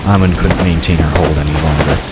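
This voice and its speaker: A man's voice: extremely low pitch, fairly even intonation, fast, very noisy. A man narrating a scripted fantasy story as the narrator of an audio drama.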